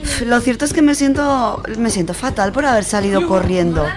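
A woman's voice: a little high, over music, lightly acted. A woman with an accent from Spain playing an unsure but feisty young protester in a comic radio serial. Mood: troubled, unsure. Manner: halting, colloquial. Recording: busy crowd sound behind.

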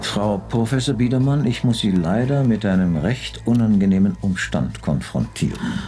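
A man's voice: on the low side, lively intonation, moderate pace, warm tone, soft background music, close to an everyday voice. A man playing a pompous corporate director. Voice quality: formal, lofty phrasing. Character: pompous, moralizing male company director. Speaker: company director. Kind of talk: radio drama acting.

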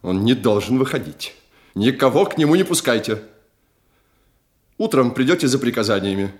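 A man's voice: mid-range voice, lively intonation, normal speed, close to an everyday voice. Actor as curt, brooding, commanding king. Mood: cold, commanding. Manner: commanding, clipped. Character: curt, brooding king giving cold orders. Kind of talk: radio play acting.

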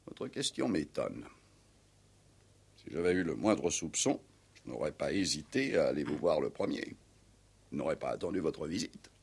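Casting: pompous older ex-Resistance commander, deep and husky, eccentric village notable, vintage radio drama. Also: male voice, big pitch swings, normal speed, long pauses, a little mellow, exaggerated voice. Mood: guarded. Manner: pompous, indignant, emphatic. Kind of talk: 1960s French radio play acting.

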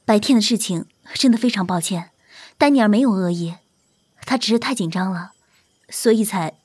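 A woman's voice: medium pitch, normal speed, darkish tone, husky, no echo, natural voice. A woman playing a courteous, protective celebrity manager in an audio drama, with a formal, polite and composed manner. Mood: apologetic, polite.

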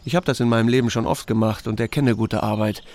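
An actor playing a dry, steady small-town sheriff, narrating and talking in a radio drama. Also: male voice, lowish voice, fast talker, natural voice. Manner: dry, understated. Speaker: narrator and lead character.

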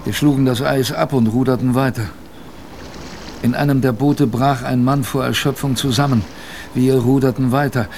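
A man voices a weary expedition sailor narrating a radio drama in the first person. His voice is mid-pitched and creaky, reflective yet dramatic, with faint noise behind it. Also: fairly even intonation, moderate pace, darkish tone, natural voice. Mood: weary, grim.

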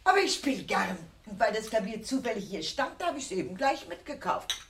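An actress playing a chatty, eccentric older aunt in a German radio play, gushing, theatrical and breezily insistent. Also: female voice, on the high side, very animated, brisk, heavy background noise. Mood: gushing, cheerful.

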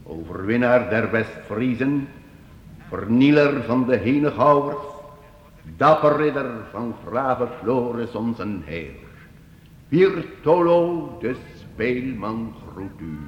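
An actor playing a grandiloquent wandering minstrel in a medieval radio drama, declaiming with flowery deference. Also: male voice, mid-range voice, expressive, slow, lo-fi sound. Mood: grand, flattering. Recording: echoey, noisy old radio play recording.

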